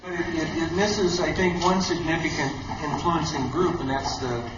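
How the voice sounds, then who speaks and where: A man's voice: a little high, steady tone, normal speed. A man in a lecture audience asking a tentative, hesitant question. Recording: echoey hall, muffled, noisy.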